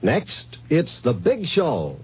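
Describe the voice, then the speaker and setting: A man's voice: on the high side, huge pitch swings, slow pace, music in the background. An American man reading a scripted 1950s network radio announcement. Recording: muffled old radio recording.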